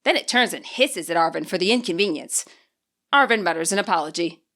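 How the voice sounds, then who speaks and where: Expressive woman narrating a story. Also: fairly low pitch, fairly quick. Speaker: fanfiction author reading her podfic. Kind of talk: audiobook narration.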